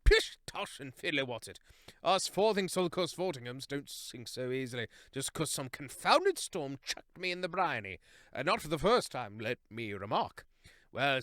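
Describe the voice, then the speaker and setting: A man's voice: mid-range voice, very animated, normal speed, bright, forceful tone, dry recording, voice pushed up. A man voicing a jolly, plummy hare who blusters and says "what, what?". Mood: hearty. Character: jolly hare, plummy upper-class old soldier type.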